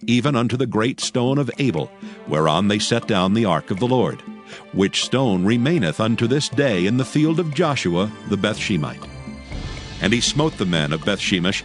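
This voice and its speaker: A man narrating scripture from a script in a solemn, measured, formal manner. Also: medium pitch. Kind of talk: scripted dramatized Bible narration. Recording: faint music behind the voice. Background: faint background music.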